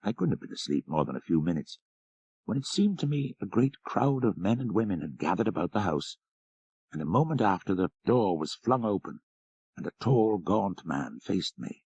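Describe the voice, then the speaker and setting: A man's voice: low-pitched, big pitch swings, moderate pace, a little mellow, husky, no room sound, dull audio. A man reading a literary story as scripted audiobook narration.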